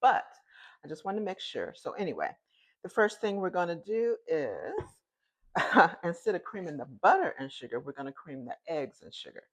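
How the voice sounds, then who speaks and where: Low-voiced chatty woman in a home kitchen. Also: big pitch swings, normal speed. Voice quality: loud voice. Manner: chatty and homey, talks straight to viewers. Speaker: home cooking host. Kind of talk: casual cooking demonstration. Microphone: phone, later a clip-on mic.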